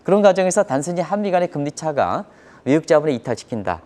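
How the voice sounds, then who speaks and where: A man's voice: on the high side, very animated, quick pace, a little mellow. A man giving live economic commentary on a broadcast in an emphatic, explanatory manner, posing rhetorical questions.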